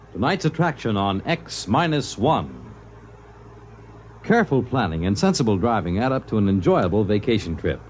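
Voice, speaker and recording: A man speaking as a radio announcer in a measured, formal style, reading a public service message. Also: mid-range voice, slight background noise.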